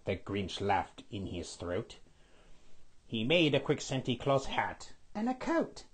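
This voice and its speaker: A man voicing a scheming skeletal villain lord who reads a storybook aloud with a raspy, sniffly voice, stretching out repeated words. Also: medium pitch, huge pitch swings, exaggerated voice. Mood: gloating. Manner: sing-song storybook reading, drawn-out repeated words.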